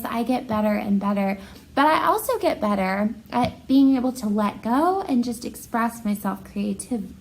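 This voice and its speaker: A woman with a fairly high, very animated voice reads and chats as an art teacher would to children. Her delivery is expressive and encouraging, addressing young listeners directly as she goes. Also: low-quality recording. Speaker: art teacher reading to pupils. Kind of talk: children's picture book read-aloud and encouraging talk.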